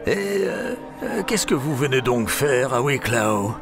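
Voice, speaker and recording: An actor playing a cruel, cunning old drunkard blacksmith in an audio drama, with a gruff, gravelly, muttering delivery. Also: male voice, mid-range voice, big pitch swings, slow, music in the background. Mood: wary, grumbling. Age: older. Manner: gruff, drawling, muttering hesitations. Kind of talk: French audio fiction acting.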